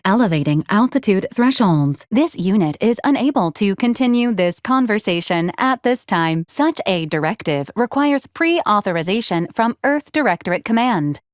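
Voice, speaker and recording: A woman playing a video game support robot, formal and literal, speaking in measured, politely officious protocol announcements. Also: mid-range voice, no room sound, studio quality, natural voice. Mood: officious, corrective. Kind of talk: video game character voice lines.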